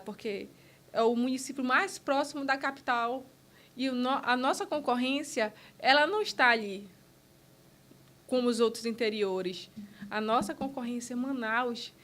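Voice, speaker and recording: A woman's voice: fairly high pitch, fairly bright tone. A woman, a business owner speaking in an interview in an earnest, emphatic way, often restarting phrases. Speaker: podcast guest, business owner. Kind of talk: conversational interview.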